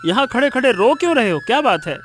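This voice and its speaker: Man acting a high-voiced, consoling cartoon villager. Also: very animated, quick pace, faint music behind. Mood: concerned. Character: concerned, consoling younger village acquaintance of a farmer. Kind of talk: Hindi cartoon story voice acting.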